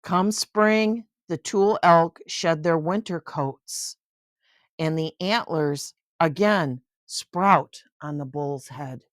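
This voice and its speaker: Grandma-aged lady reading a kids book out loud, real slow and kinda low, expressive, chats about the pictures. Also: dry recording, studio quality. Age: older. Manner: expressive, unhurried, pausing to marvel at the pictures. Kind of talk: read-aloud of a children's picture book with chatty asides.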